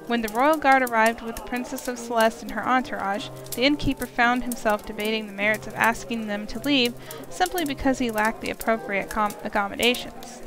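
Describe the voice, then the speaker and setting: High-voiced woman narrating fantasy. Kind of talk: scripted fantasy story narration. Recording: voice under prominent music.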